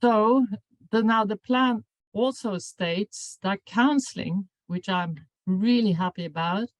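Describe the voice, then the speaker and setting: An older woman, a professor, giving an academic seminar lecture in a measured, formal way, often correcting herself mid-sentence. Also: medium pitch, dry recording, poor audio. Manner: measured, formal delivery with frequent self-corrections. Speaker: professor and anti-bullying researcher.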